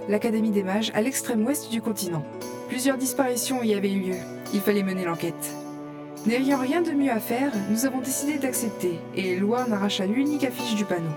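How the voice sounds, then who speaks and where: A woman's voice: fairly high pitch, close to an everyday voice. A woman playing a curious gamer who narrates her fantasy adventure in scripted first-person audio fiction.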